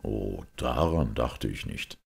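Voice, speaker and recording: A man voicing a grave, thoughtful priest detective who explains things in a measured, sombre way in an audiobook. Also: rumbling low, animated, vocal fry, darkish tone, husky, exaggerated voice. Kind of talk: audiobook character acting.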